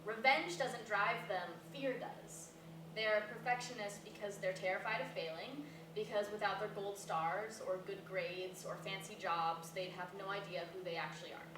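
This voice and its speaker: A woman's voice: mid-range voice, moderate pace, lightly acted. A woman acting a blunt, talkative, self-deprecating woman in casual, naturalistic dialogue at a staged play reading. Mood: confident, frank. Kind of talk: naturalistic stage dialogue before a live audience. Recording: echoey, noisy room recorded from far off.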